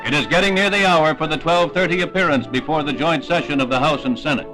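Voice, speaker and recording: High-pitched American newsreel announcer man. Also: fast, rough recording. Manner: formal, declamatory announcer delivery. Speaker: newsreel narrator. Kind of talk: 1960s newsreel scripted narration. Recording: 1960s newsreel soundtrack with music. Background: newsreel music.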